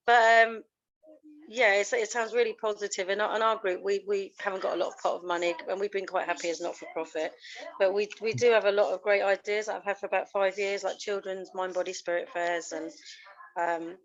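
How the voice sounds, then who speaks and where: A woman's voice: mid-range voice, fairly even intonation, a little fast. Stuffy-nosed woman, giggly on a muffled call. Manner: giggly, enthusiastic. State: congested with a cold. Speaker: caller joining a video call. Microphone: video call.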